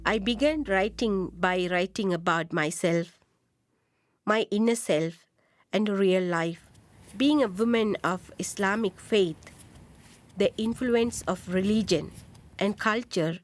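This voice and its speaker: A woman from Sri Lanka, a writer, telling her life story in a measured, deliberate first-person narration. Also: mid-range voice, no room sound. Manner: slow, measured, deliberate reading.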